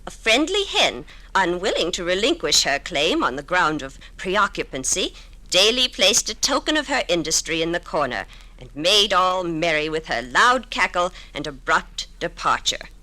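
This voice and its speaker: A woman's voice: lowish voice, very animated, normal speed, bright, forceful tone, rough recording. An actress in a 1950s radio drama playing a good-humored pioneer schoolteacher, telling her story in a literary, wryly humorous way. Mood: wry, fond recollection.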